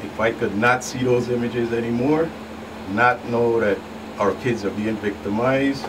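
A man's voice: medium pitch, fairly even intonation, unhurried. A middle-aged man talking earnestly as a guest agent on a radio show. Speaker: federal agent, guest expert. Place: small indoor radio studio. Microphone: boom-mounted studio microphone. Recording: noisy, slightly distant studio sound.

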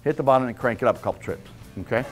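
A man's voice: mid-range voice, racing, soft background music. A man in his fifties giving a casual, blunt fishing seminar in a club meeting room, checking in with "okay?" as he goes. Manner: blunt, folksy, repeats "okay?" to check listeners. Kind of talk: casual hands-on fishing talk.

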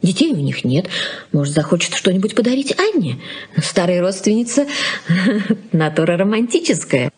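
A middle-aged wife and mother in a 1980s Soviet radio play. Her voice is husky and mid-pitched, and she speaks in a conciliatory, wistful way, gently coaxing and questioning. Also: sing-song, normal speed. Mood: confiding.

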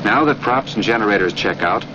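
A male actor as a stern flight instructor with a fairly low voice, needling and directing a trainee in a 1940s military training film. The old soundtrack is muffled, with clear noise behind the voice. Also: lively intonation, a little fast, lightly acted. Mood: instructive. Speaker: off-screen narrator instructing a trainee.

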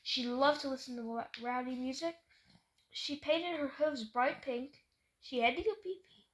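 High-voiced woman reading a picture book. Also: normal speed, a bit bright, rough recording. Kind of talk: children's read-aloud, sing-song repetitive storytelling. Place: bedroom at home, indoors. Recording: home video with clear background noise.

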